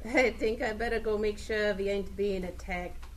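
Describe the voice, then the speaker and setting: A woman's voice: mid-range voice, fairly even intonation, moderate pace, noisy background, close to an everyday voice. A woman playing a brash, blunt fighter in a comic radio play.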